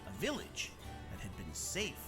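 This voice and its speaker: Dad telling an animated bedtime tale. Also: male voice, on the low side, a little slow, full character voice. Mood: measured storytelling. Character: gentle father telling a bedtime fairy tale. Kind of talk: scripted storybook narration in a cartoon. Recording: music behind the voice.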